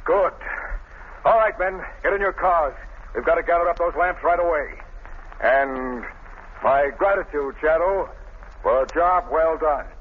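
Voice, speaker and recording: A tinny, noisy old radio recording carries a brisk police commissioner played by a man. His fairly high voice is clipped, harried and full of official authority, in 1930s drama style. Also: close to an everyday voice. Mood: harried, grateful.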